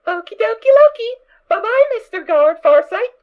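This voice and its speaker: A tiny, innocent pony filly with an extremely high, childlike voice. She is cheerful and naive, chirping her way through an audio drama. The recording is a little dull and lo-fi. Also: sing-song, normal speed. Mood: cheerful, eager.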